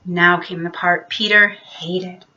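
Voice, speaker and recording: Woman reading kids bedtime story, expressive, bright high voice, recording a bit muffled. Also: slow. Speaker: children's storyteller. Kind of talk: scripted bedtime story narration.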